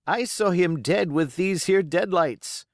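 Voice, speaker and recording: A man voicing a grumbling, superstitious old pirate in rough sailor dialect for an audiobook. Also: high voice, takes it slowly, no echo, studio quality, pitched above the performer's own voice. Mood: grim. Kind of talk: audiobook character voice.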